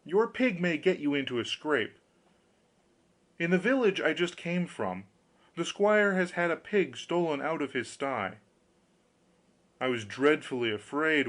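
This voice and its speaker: A crafty storybook countryman, played by a male reader. He sounds folksy and confiding, pressing his case with a show of grave concern and widely moving pitch. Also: fairly bright tone. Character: sly countryman feigning grave, friendly concern.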